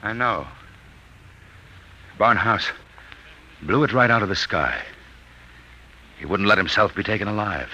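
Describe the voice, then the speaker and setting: A man's voice: fairly low pitch, expressive, measured pace, long pauses, sharp tone, highs cut off. An actor playing an urgent military man in a 1950s radio drama, speaking in emphatic, melodramatic, clipped lines. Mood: bitter, defeated. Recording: very noisy vintage radio drama recording.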